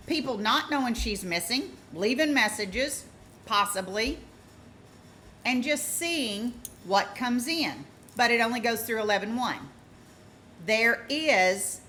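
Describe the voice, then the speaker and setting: A woman giving informal true-crime commentary as a vlogger, emphatic and deliberate, repeating points for stress. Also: fairly high pitch, bright, forceful tone, slight background noise.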